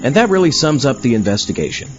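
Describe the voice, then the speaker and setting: A man playing a deadpan, bumbling paranormal investigator and podcast host in a comedy audio drama, on a lo-fi recording. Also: medium pitch, fast, close to an everyday voice. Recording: dull lo-fi, faint music.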